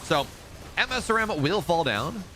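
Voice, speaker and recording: A man casting a live strategy game match, excitable in the fights and relaxed and rambling when he chats with viewers. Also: fairly high pitch, normal speed, slight background noise. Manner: excitable play-by-play, rising in big fights, relaxed and rambling between games. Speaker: esports caster. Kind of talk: live game commentary and chat reading.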